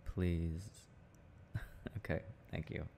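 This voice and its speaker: A young man with a very low, creaky voice speaks in a casual, affectionate boyfriend-roleplay style. His tone is mellow and intimate, chatty and prone to chuckling. There is faint noise behind him. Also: little pitch movement, drawn-out words. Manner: soft affectionate chat with frequent chuckles. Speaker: boyfriend roleplay audio performer.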